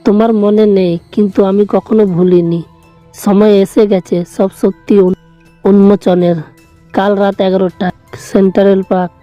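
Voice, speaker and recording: An actor playing a mysterious, soft-spoken long-lost lover in an audio drama, slow, cryptic and intense. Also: male voice, sits high, level tone, no echo, dull audio, faint music behind, natural voice. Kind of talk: audio story drama acting.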